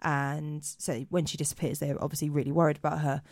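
A woman with a hoarse voice co-hosting a podcast, chatting casually with many fillers. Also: sits low, level tone, a little fast, darkish tone, no echo, clean studio sound. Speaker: podcast co-host. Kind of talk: casual chat.

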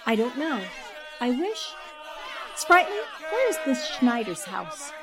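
Woman acting a high-voiced urgent newspaperwoman. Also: very animated, slow, full character voice. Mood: urgent, resolute. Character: urgent, resolute young newspaperwoman. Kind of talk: 1940s-style radio drama acting. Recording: noisy crowd sound effects behind.

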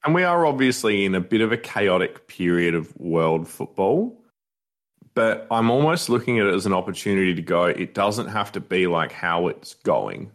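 A low-voiced man with an Australian accent, talking football on a podcast. He is enthusiastic and likes to tease his co-host in easy, casual chat. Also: sing-song, normal speed. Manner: enthusiastic, teasing.

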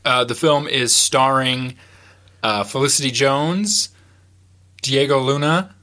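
Bright-voiced, sniffly podcast host. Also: male voice, medium pitch, slow pace. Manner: laughs often, rambling with fillers. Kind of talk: casual podcast chat. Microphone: headset mic.

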